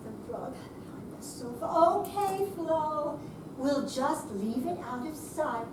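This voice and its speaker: A woman plays a tired, stubborn older lady laid up with a cast. Her voice is high and slow-paced. It is a live readers theater reading, picked up from far off. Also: lively intonation. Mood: self-reproachful. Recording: roomy, distant, noisy live room recording.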